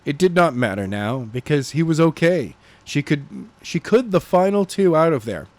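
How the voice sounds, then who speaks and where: A man with a slightly high voice reads a novel aloud as its narrator. His delivery is animated and homemade rather than polished. He stumbles over phrases and corrects himself as he goes. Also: no room sound. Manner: halting, stumbling read with self-corrections. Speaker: narrator reading a novel chapter. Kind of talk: animated amateur audiobook narration.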